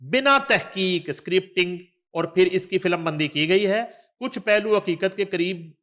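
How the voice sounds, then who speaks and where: A man with a Pakistani accent and a slightly high-pitched voice presents news commentary. He reads written text with deliberate emphasis, then drops into conversational asides as a show host would. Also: fairly bright tone. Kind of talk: news commentary, reading an article aloud with asides.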